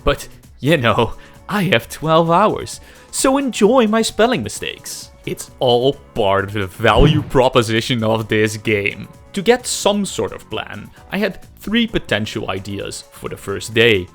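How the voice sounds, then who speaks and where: A man's voice: mid-range voice, very animated, unhurried, soft background music. A man narrating a scripted, comedic game development video with self-deprecating, exaggerated emphasis and some swearing. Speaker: host, amateur game developer narrating a devlog.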